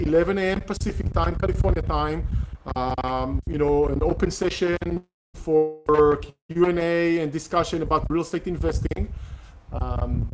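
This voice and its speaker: Middle-aged host, Hebrew first language; hesitant and filler-heavy, slightly high-pitched; faint noise floor. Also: male voice. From Israel. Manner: hesitant, many fillers and restarts. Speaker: real estate investor hosting a Q&A session. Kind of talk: casual live-streamed talk.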